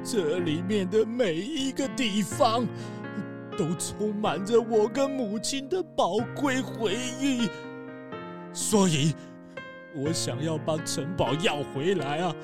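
Halting, remorseful old count. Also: male voice, sits high. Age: older. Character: bankrupt old count, remorseful and grieving his mother. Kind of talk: children's audio drama acting. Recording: loud background music.

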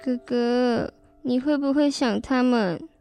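Female performer as clear, high-voiced little sister. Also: slow pace, warm tone, faint music behind, full character voice. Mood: eager. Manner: childlike, plain-spoken. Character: little girl, eager, plaintive younger sister. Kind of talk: children's educational radio drama acting.

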